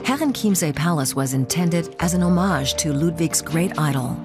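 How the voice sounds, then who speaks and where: A woman's voice: low voice, lively intonation, moderate pace. A woman reading scripted narration for a travel report as a documentary narrator. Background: background music.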